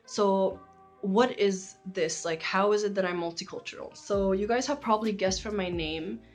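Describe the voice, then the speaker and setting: A woman's voice: medium pitch, moderate pace, soft background music. Chatty Egyptian woman in her twenties. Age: 20s. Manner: chatty, many likes and ums. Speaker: channel host. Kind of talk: casual talk to camera.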